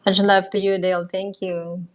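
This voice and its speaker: A woman's voice: mid-range voice, little pitch movement, moderate pace. A woman speaking as a community guest, giving informal advice in an earnest, encouraging way.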